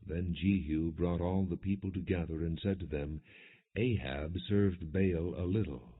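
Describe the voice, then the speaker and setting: A man reading scripture as an audiobook narrator, in a measured, solemn, non-dramatized style. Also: low-pitched, husky. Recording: dull, muffled phone-like audio.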